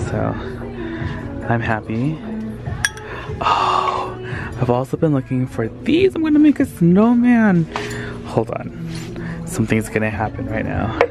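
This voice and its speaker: A man in his thirties vlogging casually into a handheld camera, chatty and excitable, talking straight to his viewers. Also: on the low side, vocal fry. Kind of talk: casual vlog narration. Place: car, outdoor yard and thrift store.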